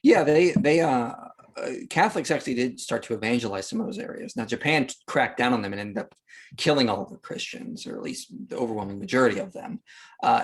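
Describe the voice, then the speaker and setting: A man's voice: medium pitch, husky. A man speaking as an interview guest, explaining history in unscripted podcast talk with an emphatic, argumentative manner.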